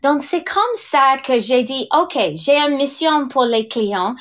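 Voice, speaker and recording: Slow, hesitant woman in her sixties. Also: high voice, animated. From England. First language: English. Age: 60s. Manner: hesitant, many fillers and restarts. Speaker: direct sales leader. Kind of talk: podcast testimony.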